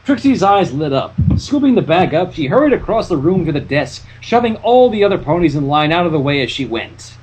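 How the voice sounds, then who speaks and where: Casting: story reader for fan fiction, husky tone, very lively intonation, jokey commentary. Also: medium pitch, fairly quick, few pauses, rough recording. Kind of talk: animated story narration with joking asides.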